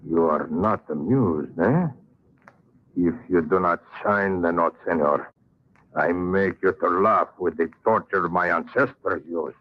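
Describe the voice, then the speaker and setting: A menacing Spanish Don from a radio western, low-voiced and unhurried. He speaks with a Spanish accent and oily, mocking courtesy, on a muffled vintage recording. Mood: gloating. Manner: slow, mock-polite, chuckling menace. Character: gloating Spanish villain, a menacing Don who relishes torture. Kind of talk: old-time radio western acting.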